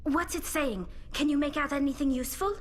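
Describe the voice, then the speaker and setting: A woman's voice: high voice, brisk, close to an everyday voice. Woman as wary soldier over noisy ambience. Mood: alert. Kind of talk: scripted video game dialogue. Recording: clearly noisy ambient game soundscape.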